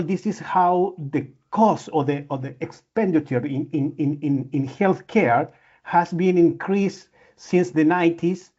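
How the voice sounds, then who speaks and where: A man's voice: a little high, mellow. Man. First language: Spanish. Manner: earnest, frequent restarts and repeated words. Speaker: medical imaging researcher and physician giving a seminar. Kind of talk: lecture with slides. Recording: dull lo-fi audio.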